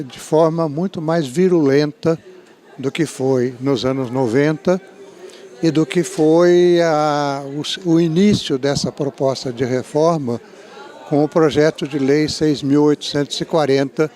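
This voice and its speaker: A middle-aged man with a Brazilian Portuguese accent, an education professor giving an interview, speaking in measured academic phrases with frequent restarts and "né?" tags. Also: on the high side, warm tone. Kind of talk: interview, spoken analysis.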